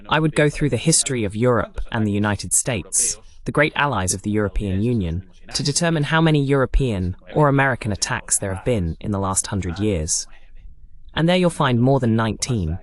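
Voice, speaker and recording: A man hosting a live political broadcast, arguing emphatically with rhetorical questions and repetition. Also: medium pitch. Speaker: political show host.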